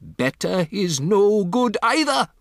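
A storybook narrator voicing a furious, imperious female witch leader, shrieking with drawn-out, emphatic words. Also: medium pitch, wildly swooping pitch, slightly rough, dry recording, clean studio sound, exaggerated voice. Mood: imperious fury. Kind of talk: theatrical storybook character voice.

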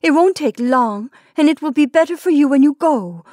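A woman's voice: fairly high pitch, normal speed, no room sound, studio quality, pitched above the performer's own voice, close to an everyday voice. A woman voicing a desperate wife who pleads with her dying husband, her voice rising toward a shriek. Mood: pleading.

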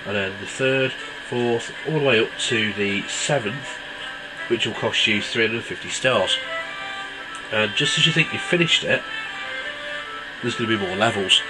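A man's voice: fairly low pitch, rough recording. A man with a stuffy cold, casually reviewing a mobile game with lots of ums. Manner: relaxed, rambling with many ums. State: congested from a cold. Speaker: app reviewer. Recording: loud game music behind.

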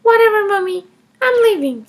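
A woman doing a bratty little kindergarten girl, high and gravelly. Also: lively intonation, moderate pace. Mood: defiant. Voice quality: high childish whine. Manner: sassy and stubborn. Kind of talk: comedy skit role-play.

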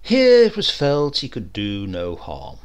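A man's voice: medium pitch, a little slow, clear, resonant tone. A man narrating a comic short story in a dry, wry storytelling manner. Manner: dry, wry storytelling with lively voiced dialogue.

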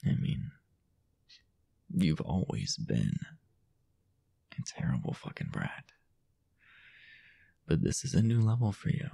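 A young man playing a possessive, jealous, dominant boyfriend in an intimate audio roleplay, halting and teasing, often dropping toward a whisper. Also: deep voice, big pitch swings, mellow, exaggerated voice. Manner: halting, teasing, breathy half-whispered asides.

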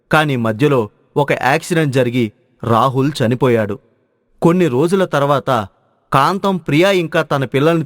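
A man's voice: on the high side, dry recording, clean studio sound. A man narrating a scripted moral story as its storyteller.

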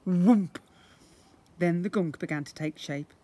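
A man in his fifties reading a story to young children in an expressive way, playing up the sound words, recorded outdoors at arm's length. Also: high voice, measured pace. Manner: expressive, acting out sound effects. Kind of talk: animated read-aloud storytelling for young children. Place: outdoors, beside brick houses. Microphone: phone or camera at about arm's length.

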